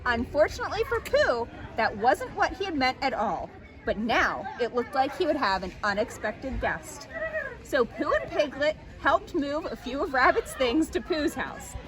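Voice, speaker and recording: A woman reading a children's story aloud as an expressive storytime narrator. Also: high-pitched, normal speed, a crowd in the background, poor audio.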